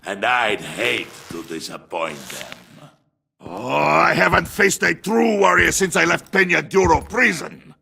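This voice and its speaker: Slow, gravelly mercenary villain. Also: male voice, fairly high pitch, animated, pushed and bright, a little hiss or hum, exaggerated voice. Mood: boastful. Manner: theatrical, taunting, grandly deliberate. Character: hulking, menacing, proud mercenary warrior villain. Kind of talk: video game character acting.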